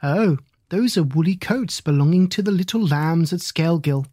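A woman's voice: on the low side, normal speed, warm tone, breathy edge, dry recording, studio quality. A woman voicing a stout, anxious female hedgehog washerwoman who talks in a deferential, old-fashioned polite way. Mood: fussy polite.

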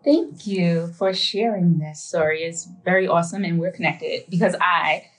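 A woman co-hosting a podcast in a relaxed, conversational way. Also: fairly low pitch, normal speed, a little hiss or hum. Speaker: podcast co-host.

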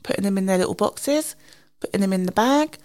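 A British woman hosting a casual, chatty solo podcast, slightly husky and sniffly from a cold, informal and self-deprecating. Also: mid-range voice, expressive, brisk, darkish tone. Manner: informal, self-deprecating, recounts conversations in quoted dialogue. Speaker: podcast host.

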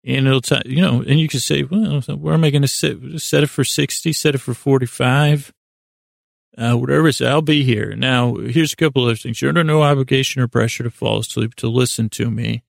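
A man with a heavily creaky, gravelly voice at a medium pitch, recorded very dry. He hosts a sleep podcast, meandering hesitantly through tangents and self-deprecating asides. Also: fairly even intonation, brisk, no room sound. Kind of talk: rambling, tangential podcast monologue.

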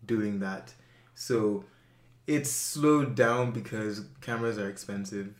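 A man's voice: on the low side, takes it slowly, roomy sound. A young man, chatting casually as an interview guest, full of fillers and self-corrections. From Nigeria.

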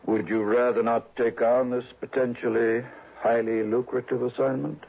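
A man's voice: medium pitch, level tone, normal speed, a little hiss or hum, natural voice. A man playing an agitated, suspicious businessman client in a 1960s radio drama, emphatic and insistent. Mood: pressing. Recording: tinny, narrow old radio sound.

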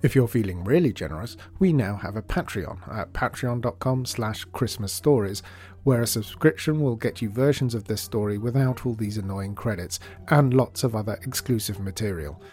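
A man's voice: fairly low pitch, lively intonation, moderate pace, darkish tone. A man reading scripted closing credits as a podcast announcer. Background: music.

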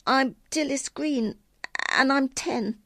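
A woman's voice: high voice, takes it slowly, bright, forceful tone, no echo, clean studio sound, pitched above the performer's own voice, heavily acted. A woman voicing a timid, tearful ten-year-old evacuee girl in a storybook reading, hesitant and small-voiced. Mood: shy.

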